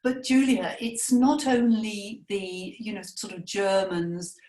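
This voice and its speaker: A woman's voice: medium pitch, steady tone, slow pace, dark tone, reverberant, rough recording. A woman who is a language coach, chatting casually and emphatically, often adding "you know" and "right?". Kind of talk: casual podcast chat.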